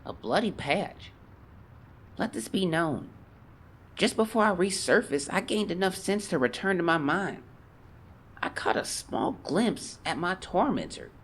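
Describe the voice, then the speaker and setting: A man's voice: sits high, animated, a little slow, a bit bright, husky, exaggerated voice. A man playing a haunted teenage boy explorer, solemnly and theatrically dictating a fearful journal in an audio drama. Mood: weary, somber. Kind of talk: dramatic first-person journal narration.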